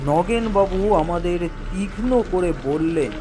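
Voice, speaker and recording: A storyteller reading a literary horror story in an expressive, dramatic and wry narrating voice. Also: medium pitch, takes it slowly, noisy background. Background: background music.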